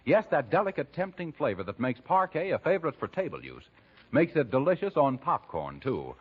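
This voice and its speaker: An American man with a husky voice, announcing in the emphatic, scripted sales style of 1940s radio. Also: a little high, big pitch swings, fairly quick. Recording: dull 1940s radio recording.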